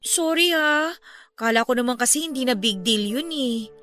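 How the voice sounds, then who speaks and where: A frank, breezy young woman in a radio drama. Her voice is high and bright and moves around a lot in pitch. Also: animated, moderate pace, faint music behind. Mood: defensive.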